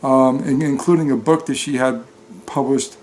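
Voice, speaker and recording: A man talking casually and off the cuff as a story reader, in a halting, hesitant manner. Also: medium pitch, steady tone, a little mellow, low-quality recording. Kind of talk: narrated book reading, then casual unscripted talk.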